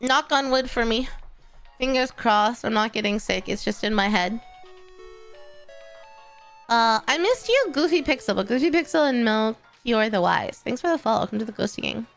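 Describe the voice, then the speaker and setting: A young woman chats with her stream audience in an easy, encouraging way. Her nose sounds stuffy and congested, and she laughs often. Faint music plays softly underneath. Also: a little high, lively intonation, normal speed, vocal fry. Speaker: VTuber streamer. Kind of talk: casual livestream chat, reading chat.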